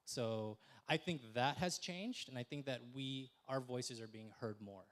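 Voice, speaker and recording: A young man speaking on a discussion panel in a voice of middling pitch. He reflects slowly on each answer, with plenty of ums and restarted sentences. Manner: thoughtful, many fillers and restarts. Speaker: panelist, film festival director.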